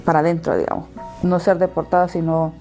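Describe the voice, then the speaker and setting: A woman in her thirties with a Salvadoran accent, giving personal testimony in an interview and pausing between phrases. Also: low-pitched, little pitch movement, mellow, faint music behind. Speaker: interviewee telling her own story.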